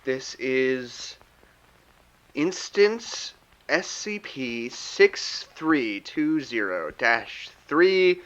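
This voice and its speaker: A man's voice: medium pitch, a bit bright, muffled recording. An actor playing an exhausted, bitter male psychologist held in containment, speaking in a defeated, sardonic way in an audio drama. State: tired. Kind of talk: scripted audio drama log.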